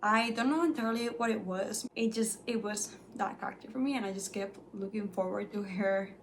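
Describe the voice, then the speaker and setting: A young woman whose first language is Spanish, chatting casually about books she has read, animated and full of "like"s. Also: medium pitch, a little fast, slight background noise. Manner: chatty and animated, many "like"s, light laughs. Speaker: book reviewer. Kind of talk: casual chat to camera.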